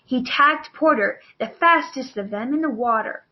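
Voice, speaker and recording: A woman's voice: high-pitched, normal speed, muffled recording. A woman narrating a children's storybook as a scripted read-along.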